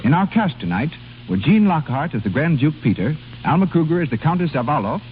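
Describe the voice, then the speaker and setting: A man's voice: fairly high pitch, sing-song, fairly quick. An American man reading scripted announcements as a 1930s radio announcer. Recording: tinny 1930s radio recording, faint hum.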